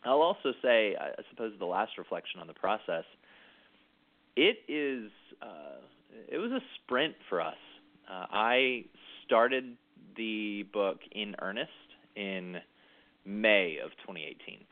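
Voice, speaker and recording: A low-voiced man talks reflectively, stopping and restarting his sentences as he thinks. The sound is thin and phone-like. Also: animated. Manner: thoughtful, halting, frequent restarts. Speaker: author interviewed about his book. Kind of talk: reflective conversational interview. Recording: tinny phone-like line.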